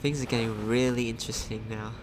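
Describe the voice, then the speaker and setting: A gamer giving casual let's play commentary, reacting informally in short remarks with a creaky voice. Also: mid-range voice, steady tone, fast talker, noisy background. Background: game music.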